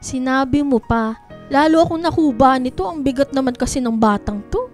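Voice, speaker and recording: A woman's voice: sits high, expressive, moderate pace, faint music behind. A woman in a radio drama playing a heavily pregnant young Filipino wife, whiny, feisty and scolding. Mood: achy, teasing.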